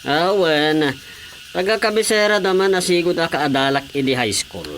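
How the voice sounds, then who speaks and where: A man's voice: a little high, animated, normal speed, a bit bright, a little hiss or hum. Guy doing a jolly show-off husband, radio comedy, laughs a lot. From Philippines. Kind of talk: radio drama acting.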